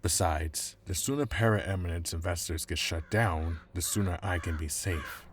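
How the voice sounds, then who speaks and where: An actor playing an anxious, self-preserving corporate lawyer in a cyberpunk audio drama, explaining and pleading. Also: male voice, deep voice, normal speed, a little hiss or hum, close to an everyday voice. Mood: nervous, pleading. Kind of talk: cinematic cyberpunk audio roleplay acting.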